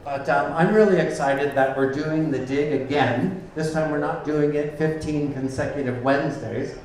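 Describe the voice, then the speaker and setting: A man's voice: a little high, moderate pace, a little mellow. A man hosting a live community event in the back room of a bar, giving loose, chatty opening remarks with many ums. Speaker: event host. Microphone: handheld microphone from a distance. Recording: echoey room, far from the mic, faint noise.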